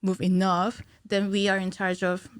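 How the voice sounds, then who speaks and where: Woman explaining haltingly, dry studio sound. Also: mid-range voice, completely flat delivery, smooth, no echo. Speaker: podcast guest, cinematic animator. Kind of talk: conversational technical explanation in an interview. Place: small indoor podcast studio. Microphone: studio microphone on a stand.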